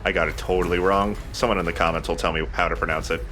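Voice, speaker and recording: A man's voice: deep voice, steady tone, fast, bright, forceful tone, faint music behind. A man hosting a let's play, chatting casually over his game with self-deprecating banter. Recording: clear background noise. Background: game sound.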